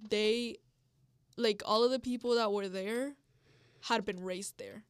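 A young woman who grew up in Mexico, a native Spanish speaker, talks candidly and loosely, full of ums and likes, as she tells a personal story. Her voice sits at a middle pitch and is close and dry, with no room sound. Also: steady tone, measured pace, clean tone, no echo. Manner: candid, storytelling, lots of fillers. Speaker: podcast co-host. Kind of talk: casual chat.

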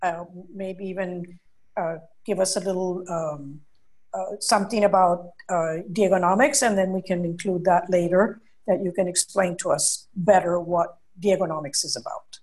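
A woman's voice: lowish voice, fairly even intonation, normal speed, darkish tone. A woman working as an educational consultant, hosting an interview and chatting in a friendly way, often filling her speech with "you know" and "uh". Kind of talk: conversational interview.

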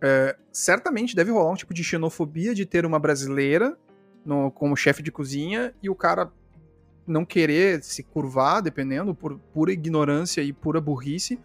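A man's voice: fairly high pitch, normal speed, faint music behind. A man interviewing a guest in a casual chat, talking loosely and searching for his words. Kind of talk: casual conversation.

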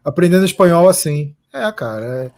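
A man's voice: a little high, animated, brisk, a little mellow. A Brazilian man hosting a casual live stream, chatting and reading out viewer comments.

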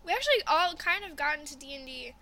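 A woman's voice: high-pitched, sing-song, quick pace, sharp tone, slight background noise. A young woman hosting a casual podcast chat, upbeat and filler-heavy as she steers the group from topic to topic. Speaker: podcast host.

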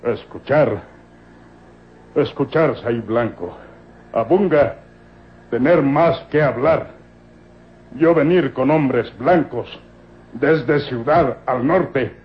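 An actor playing a humble, devoted Ethiopian slave who talks in halting broken phrases, in an old-time radio serial. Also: male voice, mid-range voice. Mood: urgent, submissive. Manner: broken speech in bare infinitives, halting. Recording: muffled old radio drama recording.

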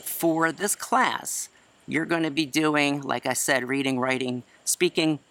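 A man in his forties, a high school English teacher, giving a chatty, self-assured class introduction outdoors in a courtyard. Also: slow, heavy background noise. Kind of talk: class introduction video lecture. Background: crickets and chirping.

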